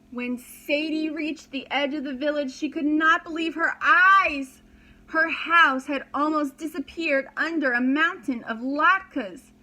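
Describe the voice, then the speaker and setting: A woman's voice: high-pitched, pushed and bright, poor audio. A woman reading a children's story aloud as a preschool teacher, dramatically and expressively, with simple explanations for young listeners.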